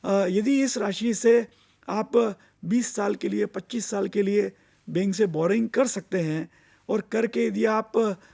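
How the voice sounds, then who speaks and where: Man, Indian accent, high voice, gravelly fry, instructive lecture delivery, deliberate emphasis. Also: warm tone. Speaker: finance expert giving investor education. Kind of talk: explanatory lecture mixing Hindi and English.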